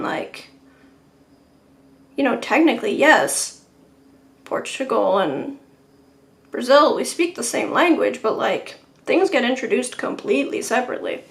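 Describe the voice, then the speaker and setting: A woman's voice: high-pitched, fairly bright tone. A woman hosting a live watercolour painting stream, chatting with viewers in a rambling, laughing way. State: sore neck.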